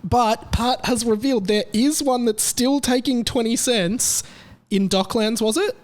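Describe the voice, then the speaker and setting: A man hosting a podcast, talking in a chatty, excitable way with lively jumps in his voice. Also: very high-pitched, unhurried. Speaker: podcast host. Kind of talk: casual chat.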